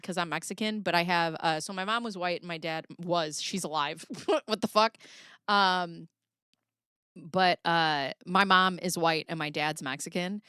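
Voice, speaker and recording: Bright, laughing Midwestern woman streamer. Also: fairly low pitch, clear, resonant tone, no room sound, clean studio sound. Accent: Midwestern American. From American Midwest. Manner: chatty, laughs often, swears casually. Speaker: livestream host reading chat. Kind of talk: casual livestream chat.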